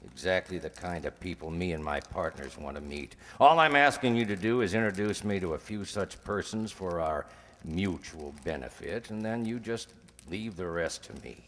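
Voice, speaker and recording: A man acting in an old-time radio crime drama as a polite, persistent drug dealer pitching like a salesman. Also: normal speed, fairly bright tone. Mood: insistent, pleading. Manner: polite, insistent salesman's patter. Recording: long reverb, hall-like room.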